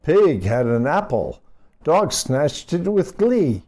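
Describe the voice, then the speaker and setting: A man reads a rhyming children's picture book aloud in an expressive, animated way, speaking to young listeners. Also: a little high, slow, slight background noise. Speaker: storybook reader for children.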